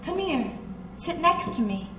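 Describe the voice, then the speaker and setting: A woman playing a calm, worldly blind woman who speaks softly and invitingly in a radio drama. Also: high voice, unhurried. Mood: quiet, inviting. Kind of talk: old-time radio drama acting. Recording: distant echoey room with background noise.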